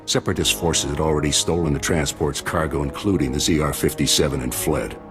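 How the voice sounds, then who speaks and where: A man playing a composed special-forces commander in a video game, speaking in a measured, authoritative, formal way. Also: extremely low pitch, steady tone, music in the background. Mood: grave. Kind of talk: video game cutscene acting, briefing.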